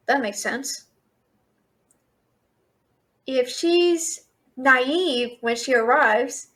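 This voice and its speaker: Woman acting as young fantasy thief-alchemist, captive, high voice, unhurried, feisty, sassy, incredulous, audio roleplay. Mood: thoughtful, wry. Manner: expressive, incredulous, sassy, questioning. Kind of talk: fantasy audio roleplay, one-sided dialogue to listener.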